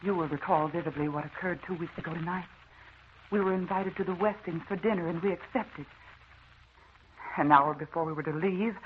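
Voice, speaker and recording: An actress playing a haunted, weakening wife in a melodramatic 1940s radio drama monologue, anguished and confessional. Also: female voice, fairly low pitch, lo-fi sound, close to an everyday voice. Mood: haunted dread. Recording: thin, hissy old radio recording.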